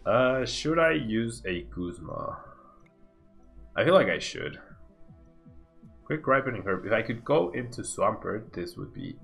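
A man's voice: mid-range voice, very animated, unhurried, vocal fry, long pauses, fairly bright tone, faint music behind. A man of thirty-one doing casual, slangy livestream game commentary, laid-back and often repeating his phrases. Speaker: game streamer.

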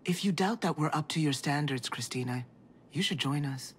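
A woman's voice: deep voice, slight background noise, close to an everyday voice. Composed, principled older woman played by an actress. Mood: earnest. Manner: measured, formal, earnest. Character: composed, principled older female community leader. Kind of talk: cinematic video game acting.

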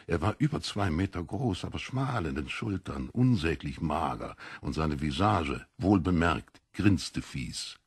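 Ein Mann liest als Hörbucherzähler einen literarischen Text, mit rauer Stimme und bedachter, dramatischer Phrasierung. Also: male voice, a bass voice, lively intonation, a little slow, few pauses, breathy edge, no room sound, studio quality. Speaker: audiobook narrator. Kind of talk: scripted literary narration.

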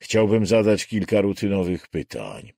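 A man voicing a tired, low, gruff male intruder who poses as a policeman in a thriller audiobook. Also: moderate pace, no echo, clean studio sound, heavily acted. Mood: weary, official. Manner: insistent, tired, calmly threatening. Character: low, weary, rough-voiced male intruder posing as policeman, menacing.

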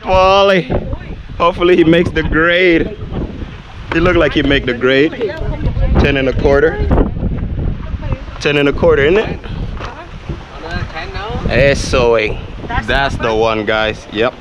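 A man giving excited, chatty running commentary to viewers while fishing from a sea pier, talking into a body-worn camera. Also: a little high, slow pace, pauses often, muffled recording. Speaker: fishing vlogger. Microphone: body-worn action camera. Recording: loud wind noise on an outdoor camera mic. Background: wind and traffic noise.

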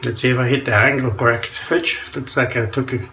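A man's voice: mid-range voice, steady tone, brisk, bright, forceful tone, slight background noise. A man in his forties from Norway with a Norwegian accent, chatting casually in a small bathroom while he shaves, chuckling often between remarks. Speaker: shaving vlogger. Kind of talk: casual chat while shaving. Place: small tiled bathroom indoors. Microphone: camera in front at close range.

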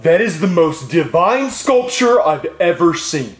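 A man's voice: a little high, big pitch swings, a little slow, roomy sound, background noise. A man playing a gullible, reverent rich buyer in a comedy skit. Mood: awestruck.

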